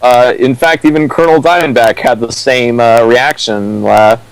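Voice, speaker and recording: Mid-pitched man in casual discussion. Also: steady tone, normal speed, clean tone, dry recording, rough recording. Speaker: co-commentator. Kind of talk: casual opinionated discussion of storytelling.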